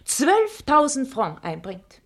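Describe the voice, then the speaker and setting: An actress playing a shrewd, flattering matchmaker in a German radio comedy, coaxing and theatrical with a husky voice. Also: female voice, sits high, slow pace, exaggerated voice. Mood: coaxing, assured. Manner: coaxing, theatrical, smooth-tongued. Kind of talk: German radio play of a classic stage comedy.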